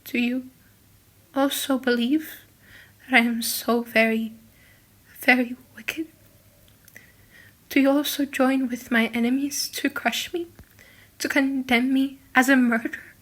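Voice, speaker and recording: A young condemned servant woman played by a female narrator speaks in a high, sharp-edged voice. She is pious and wretched, pleading with raw anguish in the manner of a dramatic classic audiobook. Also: steady tone, a little slow, long pauses. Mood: tearful, hurt. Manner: sobbing, pleading, breathy outbursts. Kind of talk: dramatic audiobook character acting.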